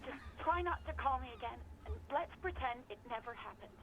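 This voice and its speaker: A woman's voice: high voice, expressive, fairly quick, fairly bright tone, slight background noise, rough recording. An actress voicing a young woman barkeep in a game, talking on the phone, reproachful and hesitant.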